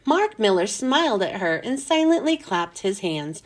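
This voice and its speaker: A woman's voice: medium pitch, sing-song, moderate pace. A woman hosting a children's read-aloud, narrating a storybook expressively.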